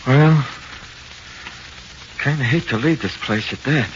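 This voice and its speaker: A male actor plays a condemned American crook in an old radio play. He talks slowly and plainly in slang, with a resigned weariness. The recording is dull, with noise behind him. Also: mid-range voice, natural voice. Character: weary condemned small-time crook, ghostly narrator of his own death. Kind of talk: 1940s radio acting.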